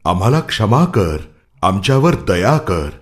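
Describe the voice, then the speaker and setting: A grave elder god played by a man in a children's mythological cartoon. He has a low, deep voice and a solemn, formal way of speaking. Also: very animated, measured pace. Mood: pleading.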